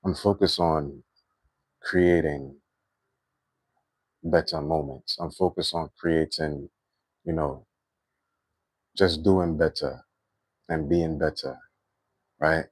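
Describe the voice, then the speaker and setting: Very deep-voiced Trinidadian man, dry sound. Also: steady tone, a little mellow, husky, no echo. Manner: reflective, unhurried, many fillers like "you know" and "right". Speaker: livestream host. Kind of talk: spiritual livestream monologue.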